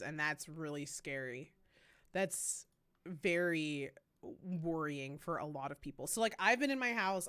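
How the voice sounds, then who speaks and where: A woman's voice: lowish voice, fairly bright tone, smooth. A woman streamer chatting casually with lots of fillers, sounding congested and worried. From Canada. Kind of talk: casual chat.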